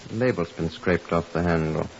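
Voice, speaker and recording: An actor playing a stern, impatient police superintendent with a curt, commanding manner in a 1950s radio drama, also narrating. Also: male voice, low-pitched, normal speed, gravelly. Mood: grim. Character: stern, impatient male Scotland Yard superintendent. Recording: muffled, hissy 1950s radio recording.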